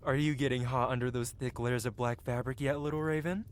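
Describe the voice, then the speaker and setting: A man's voice: medium pitch, fairly even intonation, a little fast, natural voice. A man playing a cocky, flirtatious medieval blacksmith in a fantasy ASMR roleplay, with a playful, teasing and theatrical way of talking. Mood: flirty, playful.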